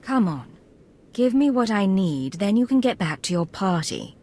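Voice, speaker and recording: A woman's voice: mid-range voice, sing-song, normal speed, darkish tone. An actress playing a self-assured female imperial agent in a video game, controlled and wry. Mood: cool, firm.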